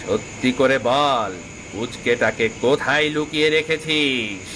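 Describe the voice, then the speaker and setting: Man voicing a slow, menacing cartoon villain sorcerer. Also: medium pitch, background noise, close to an everyday voice. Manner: taunting, drawling threats. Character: menacing, gloating villain sorcerer who curses people. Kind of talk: cartoon voice acting.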